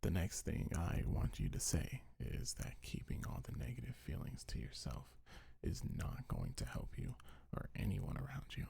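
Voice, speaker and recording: A man speaking in a deep, breathy, husky voice, half-whispering gentle, reassuring affirmations in a soothing ASMR style. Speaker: ASMR creator reading a comfort script.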